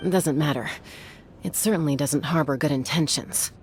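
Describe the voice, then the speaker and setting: A woman voicing a cool, terse companion in a video game, grave and deadpan in delivery. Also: sits low, moderate pace, a little hiss or hum, natural voice.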